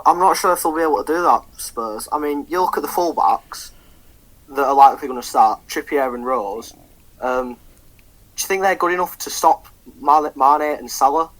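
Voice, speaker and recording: A man's voice: medium pitch, measured pace, long pauses, fairly bright tone, low-quality recording. A young man co-hosting a football podcast in casual chat, full of ums and restarts and swearing freely. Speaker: podcast co-host.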